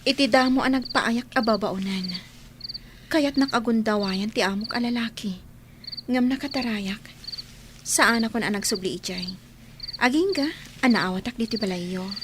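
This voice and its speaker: A woman's voice: fairly high pitch, moderate pace, pauses often, background noise, lightly acted. A woman playing a modest, forgiving young housemaid in an Ilocano radio drama, speaking politely and earnestly with an Ilocano accent. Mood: earnest, sorrowful. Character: modest, forgiving young orphaned housemaid, former college student.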